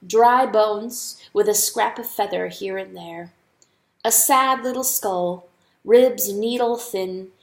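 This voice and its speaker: A woman's voice: on the high side, gravelly. A woman narrating an audiobook story as a dramatic, scripted reading. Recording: echoey, roomy sound.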